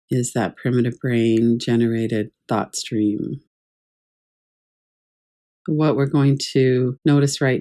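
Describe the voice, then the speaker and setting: A woman teaching guided meditation in a calm, measured, gently instructive way. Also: extremely low pitch. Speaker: yoga nidra meditation teacher.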